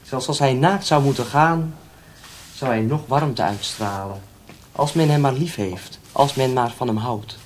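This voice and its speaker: An actor playing a gentle, devout young village priest, speaking softly and with patient earnestness in a radio drama. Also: male voice, mid-range voice, unhurried, a little hiss or hum, natural voice. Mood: gentle, earnest.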